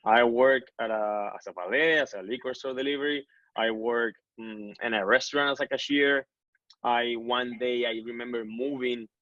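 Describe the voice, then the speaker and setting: A man's voice: mid-range voice, fairly even intonation, normal speed, fairly bright tone, no room sound. A man, a guest telling his story in a casual interview, earnest and often restarting his sentences. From Colombia. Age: 30s. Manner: earnest, many self-corrections and restarts. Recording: dull lo-fi dry recording.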